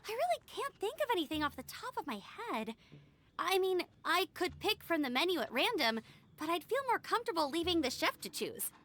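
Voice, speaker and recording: A bright-voiced eager young scout. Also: female voice, very high voice, sing-song, fairly quick, slight background noise, full character voice. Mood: hesitant. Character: cheerful young woman scout, friendly and eager. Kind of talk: video game dialogue.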